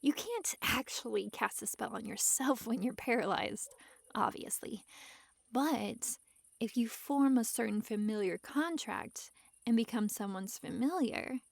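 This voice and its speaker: A woman playing a young kitsune fox girl, coy, doting and gently possessive, in a soft, intimate ASMR roleplay with sighs and coaxing asides. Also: fairly high pitch, measured pace, creaky, no echo. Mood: soft explaining.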